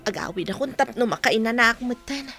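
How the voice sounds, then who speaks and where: An actress playing a shy, hardworking young woman office worker in an Ilocano radio drama, speaking softly and modestly. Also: fairly high pitch, lively intonation, brisk, loud music behind the voice. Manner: soft-spoken, modest.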